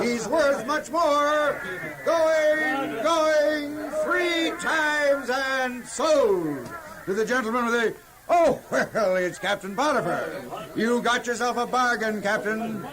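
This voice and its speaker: A man playing a brisk slave auctioneer calling out bids in an audio Bible drama. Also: very high-pitched, a crowd in the background. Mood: brisk, salesmanlike.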